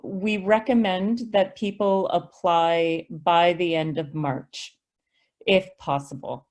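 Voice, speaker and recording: A woman's voice: fairly low pitch, steady tone, darkish tone. Patient woman answering webinar questions. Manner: patient, explanatory, measured. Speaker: school district representative. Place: online video call.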